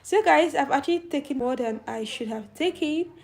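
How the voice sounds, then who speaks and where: A woman's voice: high voice, animated, moderate pace, vocal fry, darkish tone. A middle-aged woman with a young-sounding voice hosting a casual live stream, chatting and answering viewer comments. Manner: youthful-sounding voice. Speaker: channel host.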